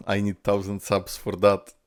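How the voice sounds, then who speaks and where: A man's voice: lowish voice, monotone, normal speed, clean tone, no echo, studio quality. A man giving casual, informal reaction commentary as a YouTuber, thinking aloud and swearing mildly. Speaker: YouTuber, streamer.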